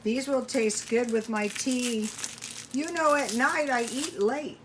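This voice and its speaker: A woman's voice: fairly high pitch, takes it slowly, noisy background. A woman chatting casually to viewers while cooking at home, thinking aloud in a rambling, folksy way. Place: home kitchen.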